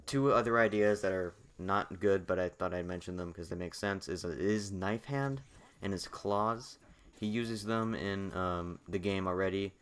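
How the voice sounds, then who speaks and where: Young guy w a really low voice, kinda hoarse today, rambly casual gaming chat. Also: fairly bright tone. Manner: rambling, repeats himself, many fillers. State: losing his voice. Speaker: gaming YouTuber. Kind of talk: casual video commentary.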